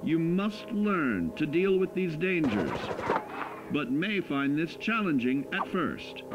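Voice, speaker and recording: An actor playing a cheery, patronizing narrator of 1950s-style corporate survival training cartoons, with a measured announcer delivery. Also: male voice, a little high, rough recording. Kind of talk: 1950s educational film narration parody. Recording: band-limited old film-reel sound with music. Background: music.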